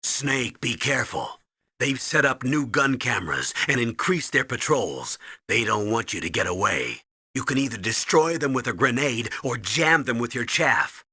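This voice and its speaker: A man playing a gruff, urgent military commanding officer briefing his agent in a video game, with a commanding, instructive delivery. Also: mid-range voice, big pitch swings, moderate pace, sharp tone, no room sound, clean studio sound, exaggerated voice. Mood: commanding, steady.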